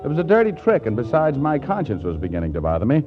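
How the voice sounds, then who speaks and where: A man's voice: mid-range voice, wildly swooping pitch, fast talker, over music. An actor playing an earnest, put-upon young man in 1940s radio comedy, wry and exasperated. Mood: weary. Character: earnest, put-upon young male writer telling his troubles. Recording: dull 1940s radio recording.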